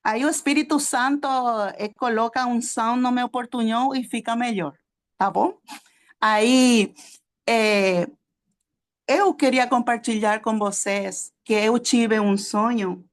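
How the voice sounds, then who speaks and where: A woman with a Spanish accent, a native Spanish speaker, teaching a sermon to a small group, halting often with fillers and checking that her listeners follow. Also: a little high. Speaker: preacher and theology teacher.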